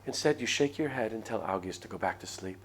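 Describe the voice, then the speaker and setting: A man's voice: lowish voice, animated, fast talker, a bit bright, slight background noise, poor audio. A man reading a visual novel aloud on a stream, narrating the story expressively. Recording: stream with faint background ambience.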